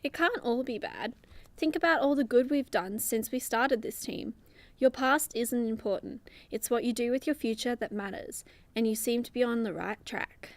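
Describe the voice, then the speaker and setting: A woman's voice: a little high, moderate pace, no echo, lightly acted. A woman playing an encouraging, level-headed superhero teammate in a comedy radio play.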